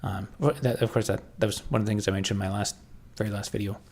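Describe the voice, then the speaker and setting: A man with a Canadian accent chatting casually on a livestream, answering viewers and thinking aloud in halting, restarting sentences. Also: fairly low pitch, steady tone, darkish tone.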